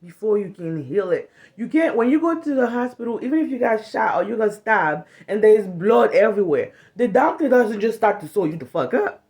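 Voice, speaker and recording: An animated woman in her thirties from Cameroon talks straight to her phone camera in a small bedroom. She is frank and blunt, swears freely, and now and then acts out short quoted lines in other voices. The sound is close and casual, like a phone held at arm's length. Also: mid-range voice, a little fast. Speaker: vlogger. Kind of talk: casual talk to camera on trauma healing.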